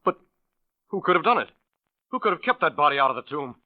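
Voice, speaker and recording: An actor playing a distraught, earnest young husband in a 1940s radio drama, speaking in an urgent, melodramatic way. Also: male voice, on the high side, big pitch swings, quick pace. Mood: incredulous. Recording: dull vintage radio recording.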